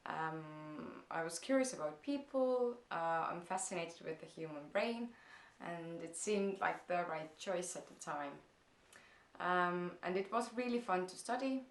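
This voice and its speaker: A young woman with an Estonian accent talks slowly and thoughtfully in English, pausing often with soft "um"s. Her voice sits a little low, and the room around her adds a noticeable echo. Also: animated. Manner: hesitant, many "um"s, slow and thoughtful. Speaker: vlogger. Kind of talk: casual Q&A vlog. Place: parents' house, indoors.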